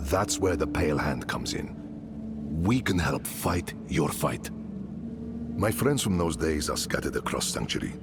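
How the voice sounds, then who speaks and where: A man's voice: a bass voice, expressive, a little slow, heavy background noise, exaggerated voice. An actor playing a wry, fatherly middle-aged mercenary leader and smith in a video game. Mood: somber. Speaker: game character. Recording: game soundtrack music behind. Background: game music.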